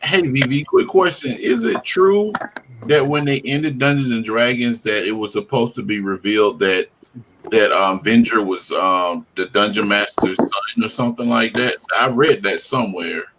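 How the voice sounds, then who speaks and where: Lower-voiced man, noisy call. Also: normal speed. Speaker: panelist. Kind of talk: casual chat. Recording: noisy online call with clicks.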